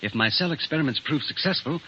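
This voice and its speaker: Young male scientist, mild and polite, bright tone with animated pitch, hesitant phrasing, old radio drama. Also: fast talker. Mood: earnest, modest. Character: young, mild-mannered, earnest male biologist. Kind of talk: 1940s radio acting. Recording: dull, faintly noisy 1940s radio recording.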